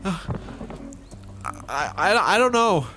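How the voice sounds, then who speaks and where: A man's voice: sits high, very animated, takes it slowly, sharp tone, soft background music, full character voice. A man playing an earnest, hesitant squad partner in a sci-fi comedy audio drama. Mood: flustered, hesitant.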